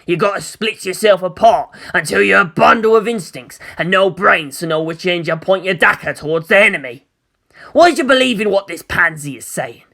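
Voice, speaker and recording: A performer voicing a rough orc brute in a storybook reading, blustering and scolding in slangy speech. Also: mid-range voice, brisk, bright, forceful tone. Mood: gruff, scolding.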